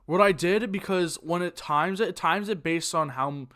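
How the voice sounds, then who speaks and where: A teenage guy streaming from his room, talking into a desk mic in a somewhat high voice. He rambles loosely, circling back with "like" and "um" and correcting himself mid-thought. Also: normal speed, pushed and bright. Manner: rambling, with many fillers and self-corrections. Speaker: streamer reacting to videos. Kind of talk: casual live-stream chat.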